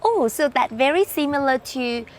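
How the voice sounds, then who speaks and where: A woman's voice: high-pitched, lively intonation, normal speed. A woman from Vietnam hosting an interview, chatting and asking questions in broken English. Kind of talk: casual interview chat.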